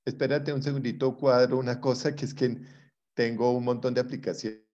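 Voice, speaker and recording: A man's voice: medium pitch, little pitch movement, a little fast, darkish tone, lo-fi sound. A man teaching a class informally, explaining by rephrasing and giving examples. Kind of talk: informal spoken lesson to a class.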